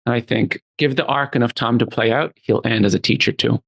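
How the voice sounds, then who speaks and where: A man's voice: medium pitch, fairly even intonation, fairly quick, creaky, a bit bright. A man with an Irish accent speaking as a guest in a casual interview, earnest and repeating phrases for emphasis. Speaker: interview guest, tech company founder and CEO.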